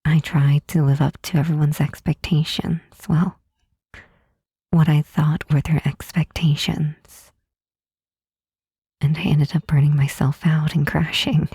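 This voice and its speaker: A woman playing a gentle, reassuring demon queen in an intimate ASMR roleplay, speaking softly and tenderly with a breathy, husky voice and deliberate pauses. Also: low voice, no echo, studio quality, heavily acted. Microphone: close mic, dry.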